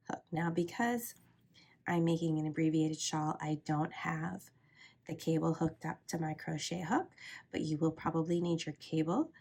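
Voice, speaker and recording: A low-pitched woman with a gravelly, husky voice, stuffed up from a cold. She explains each step at a calm, steady pace and sometimes drops to a soft near-whisper. Her tone is patient and instructional. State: congested from a cold. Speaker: crochet teacher. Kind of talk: step-by-step craft tutorial.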